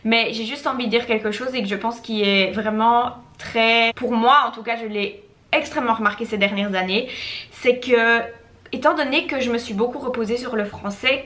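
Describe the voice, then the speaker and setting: A woman's voice: a little high, steady tone, normal speed, fairly bright tone. A young woman vlogging about language learning, talking casually with frequent restarts and slipping into English mid-sentence. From Belgium. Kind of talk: casual talking-head vlog.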